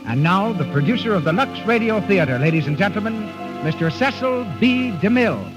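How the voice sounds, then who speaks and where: A man's voice: sits high, animated, brisk, over loud music. A man announcing and selling in polished, declamatory 1930s radio style. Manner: polished, declamatory sales delivery. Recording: dull, lo-fi 1930s radio broadcast.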